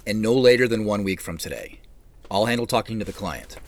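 Low-voiced, measured male boss. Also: expressive, a little hiss or hum. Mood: calm, decisive. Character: measured, wry male tech company boss narrating. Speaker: narrator and lead character. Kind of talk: first-person scripted audio drama narration.

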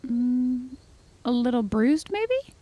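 A woman acting a wry, practical, steady companion in a science fiction audio drama. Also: high voice, takes it slowly, full character voice. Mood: steady, consoling.

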